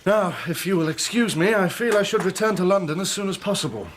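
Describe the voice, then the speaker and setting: An actor playing a shaken young Victorian engineer in a radio drama, formal, polite and wryly aggrieved. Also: male voice, high voice, fast, a little hiss or hum, close to an everyday voice. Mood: polite, hurried. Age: young adult.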